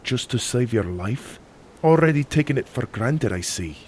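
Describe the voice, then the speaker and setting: A man voicing a stern, sardonic older sailor with a deep accented voice and a curt, scolding manner in a fantasy audiobook. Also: lively intonation, normal speed, darkish tone, slight background noise, natural voice. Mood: sardonic, demanding. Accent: deep put-on accent. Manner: curt, chiding, dry.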